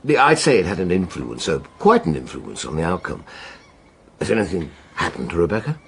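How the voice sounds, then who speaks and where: A man's voice: sits low, big pitch swings, a little fast, gravelly, husky, heavily acted. An actor playing a flustered, absent-minded male lawyer in a radio drama, rambling in hesitant stops and starts. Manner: hesitant, bumbling, stop-start.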